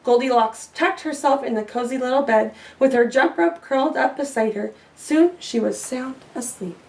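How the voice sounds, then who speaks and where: A woman's voice: high-pitched, normal speed, recorded from a distance, poor audio. A woman reading a children's story aloud with little asides, recorded a bit away from the mic. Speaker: storybook reader.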